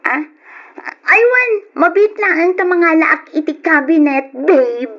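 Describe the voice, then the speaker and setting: A woman's voice: extremely high pitch, unhurried. A woman playing a young student girlfriend, sweet and evasive, in an Ilocano radio drama, with an Ilocano accent. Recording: dull radio recording with music behind.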